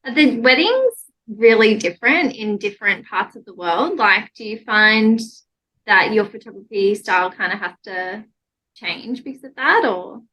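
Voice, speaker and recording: A woman's voice: medium pitch, lively intonation, normal speed, pushed and bright, low-quality recording. A woman with an Australian accent, speaking as a podcast host in a friendly interview style. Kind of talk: friendly interview with a scripted introduction.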